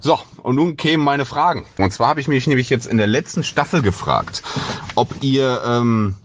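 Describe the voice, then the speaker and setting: A man's voice: mid-range voice, moderate pace. A man with an agreeable voice, talking casually and at length in a listener's voice message. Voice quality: pleasant, agreeable voice. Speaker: listener sending a voice message question.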